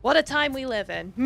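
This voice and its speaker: A woman streaming a game, chatting casually with viewers in a giggly, rambling way full of fillers. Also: high-pitched. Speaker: VTuber streamer. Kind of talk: casual live-stream chat while gaming.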